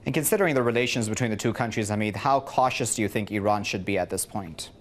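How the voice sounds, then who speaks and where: A man's voice: lowish voice, fast. A man presenting the news, reading reports and putting questions to a guest in a broadcast style.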